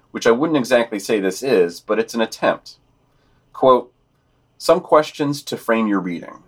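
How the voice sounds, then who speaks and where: A man's voice: on the low side, fairly bright tone. A man co-hosting a podcast, reading a scripted news digest with wry, sardonic commentary.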